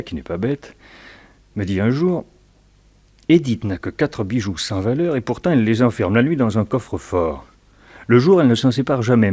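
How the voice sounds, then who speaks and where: An actor playing a scheming, taunting crook in a crime radio drama, speaking with deliberate, sardonic emphasis. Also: male voice, lowish voice, darkish tone, breathy edge. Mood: sly, taunting. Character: scheming male blackmailer, sly, taunting crook.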